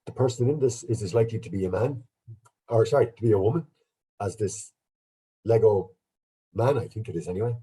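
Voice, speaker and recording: Irish man, fairly low and very dark, husky and congested with a cold, easy chatty lecturing manner over a video call. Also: a little fast, pauses often. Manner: relaxed, chatty, self-deprecating. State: bunged up with a cold. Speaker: guest speaker, archaeologist. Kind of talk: online lecture with slides.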